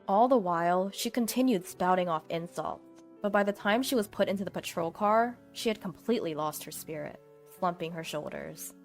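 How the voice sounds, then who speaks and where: A woman's voice: on the low side, moderate pace, faint music behind. A woman reading a scripted first-person story as its narrator.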